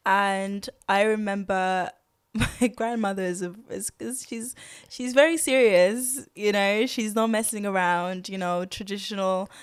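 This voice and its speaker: A woman's voice: mid-range voice, fairly even intonation, a little slow, clean tone, no echo. A young woman guest on a podcast, speaking candidly and thoughtfully, with frequent fillers and restarts and easy laughter. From grew up partly in Zimbabwe and London. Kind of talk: candid reflective conversation.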